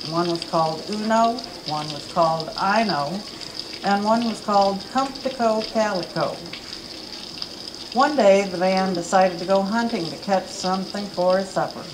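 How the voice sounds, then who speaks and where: A woman reads a spooky folk tale aloud to a live audience, her voice building drama as the story goes on. She speaks at a middle pitch. A noisy hiss runs through the live recording. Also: a little slow. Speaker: reader at a library event. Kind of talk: reading a children's story aloud. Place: campus event room.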